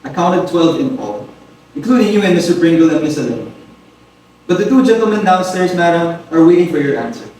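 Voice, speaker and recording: A man's voice: a little high, big pitch swings, brisk, darkish tone. A man playing a polite, dutiful household butler in an amateur dramatic reading of a comic stage play, speaking formally and deferentially. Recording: very echoey room, distant mic, faint noise.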